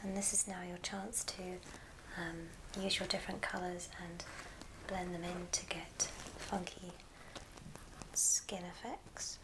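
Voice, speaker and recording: A woman whispering softly and unhurriedly through an ASMR craft tutorial, leaving pauses between short phrases. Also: on the low side, level tone, noisy background. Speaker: ASMR craft video host.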